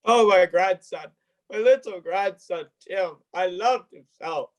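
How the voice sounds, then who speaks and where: A man's voice: high voice, a little slow. A man playing a tender old grandfather in a radio play, fond and sorrowful. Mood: grieving.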